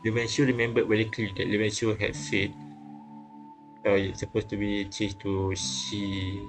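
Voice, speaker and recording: A man reading and translating a visual novel aloud, haltingly, often correcting himself and repeating phrases. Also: fairly low pitch, flat delivery. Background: game music.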